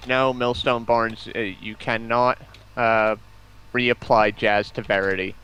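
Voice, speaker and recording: A man's voice: on the low side, little pitch movement, takes it slowly, a bit bright, smooth, low-quality recording. A man chatting casually as a guest on a live stream about giveaways, recorded with some noise behind him. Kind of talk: casual chat.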